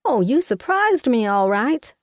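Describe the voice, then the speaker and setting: A woman's voice: a little high, big pitch swings, unhurried, no echo, studio quality, pitched above the performer's own voice. A woman voicing a fussy, outspoken older mother, talking in a folksy, scolding way. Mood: fussing.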